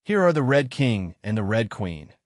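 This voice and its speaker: A man's voice: lowish voice, sing-song, moderate pace, dry recording, studio quality. A man voicing a curious, kindly young girl, chatty and full of wonder, in a storybook reading.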